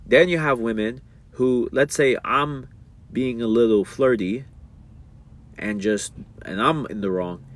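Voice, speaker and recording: A young man talking casually to his viewers about marriage and faith, reading a passage aloud and breaking into slangy, animated asides. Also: mid-range voice, measured pace. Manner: animated, rises sharply when worked up. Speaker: streamer talking about religion and relationships. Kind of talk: casual monologue.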